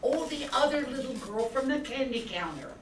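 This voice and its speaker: In a noisy, echoing room, a naive, inquisitive angel voiced by an older woman speaks in a fairly high voice. The delivery is amateur radio drama. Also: expressive, normal speed. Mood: curious. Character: curious, naive angel asking questions. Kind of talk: amateur radio-play acting. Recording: echoey, distant, noisy room.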